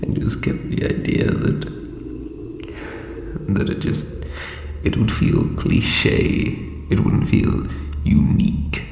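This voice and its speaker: A man playing an ancient, insecure undead lich king in a comedic audio roleplay, with a breathy croak and hesitant, pompous phrasing. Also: slow pace, pauses often, a little mellow, natural voice. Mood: self-doubting. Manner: hesitant, self-doubting, theatrical formal phrasing. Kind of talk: scripted comedic audio roleplay addressed to listener. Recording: reverberant echoey hall, faint background noise.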